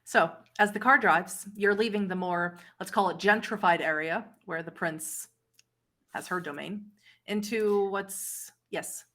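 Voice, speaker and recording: A woman's voice: mid-range voice, measured pace, fairly bright tone. A woman speaking as game master of a streamed tabletop roleplaying session, agreeing readily with players.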